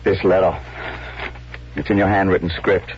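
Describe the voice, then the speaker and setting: Stern Confederate colonel played by a male actor, low voice, formal and earnest, muffled noisy vintage radio sound. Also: level tone, normal speed, close to an everyday voice. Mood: pained. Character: stern, lovesick Confederate army colonel, middle-aged man. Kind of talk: old-time radio drama acting. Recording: dull, noisy radio drama recording.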